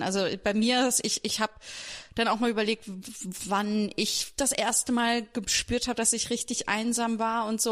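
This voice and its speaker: A woman's voice: mid-range voice, fairly bright tone. A woman from Germany talking candidly and informally about herself in a podcast conversation, often breaking off and restarting. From Berlin and Hesse, Germany. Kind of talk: personal, confessional podcast conversation.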